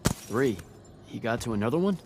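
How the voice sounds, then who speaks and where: An actor playing an earnest young battlemage in a video game, with a casual, hesitant, apologetic way of talking. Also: male voice, mid-range voice, expressive, normal speed, noisy background, natural voice. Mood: anxious, earnest.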